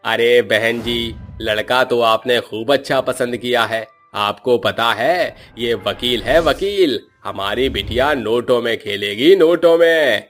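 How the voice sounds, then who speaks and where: A man's voice: medium pitch, fairly bright tone, faint music behind. A man doing a sly drawling priest. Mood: coaxing. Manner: drawn-out, slow, repeating words for emphasis. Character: sly talkative village priest, middle-aged man.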